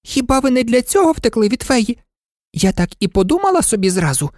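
A woman's voice: a little high, very animated, brisk, darkish tone, no room sound, clean studio sound, pitched above the performer's own voice. A woman voicing a frightened, chattering old servant woman who pleads and wails. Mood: eager chattering. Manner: pleading, wailing.

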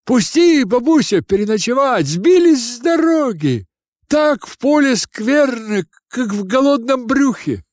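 A cheeky young seminary student acted by a man in a storybook tale. His voice is pitched high and swings about as he pleads and wheedles for favours. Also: big pitch swings, measured pace, a little mellow, dry recording, clean studio sound, heavily acted. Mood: wheedling. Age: young adult.

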